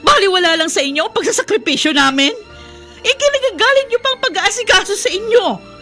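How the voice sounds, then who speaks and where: A woman's voice: very high voice, very animated, a little fast, sharp tone, soft background music, full character voice. A woman playing a weary, self-sacrificing eldest daughter in a Filipino radio drama, with a pleading, emotional delivery. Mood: anguished.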